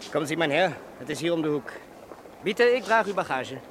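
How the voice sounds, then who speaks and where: A man's voice: a little high, lively intonation, fairly quick, background noise, natural voice. An actor playing an eager, courteous male hotel porter in a radio drama, speaking formally and obligingly.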